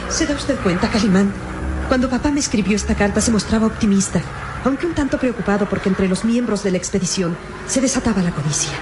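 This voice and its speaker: A woman's voice: medium pitch, fast, lightly acted. An actress playing an anxious, earnest young woman searching for her missing father, in emotive radio melodrama style. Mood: worried. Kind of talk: old radio adventure serial acting. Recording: noisy old radio recording, engine drone behind.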